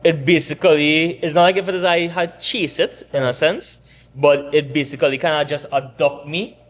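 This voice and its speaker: A man in his thirties from Trinidad, with a Trinidadian accent, talking relaxed and rambling as a barbershop owner in an interview. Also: on the high side, normal speed. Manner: relaxed, rambling with restarts and laughs. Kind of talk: casual interview chat.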